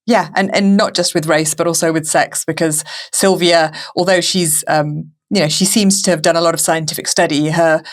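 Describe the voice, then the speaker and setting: A woman with a voice a little lower than average hosts a casual film podcast. She speaks conversationally, feeling her way into points with repeated starts. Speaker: podcast host.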